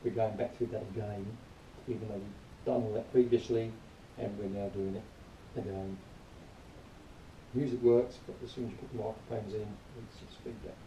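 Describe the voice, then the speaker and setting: A man giving an informal spoken review in a rambling, matter-of-fact, grumbling way, partly reading aloud, recorded quietly on a camera some distance away. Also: fairly low pitch, fairly even intonation, normal speed. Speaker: product reviewer. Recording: distant quiet mic, roomy echo, faint noise.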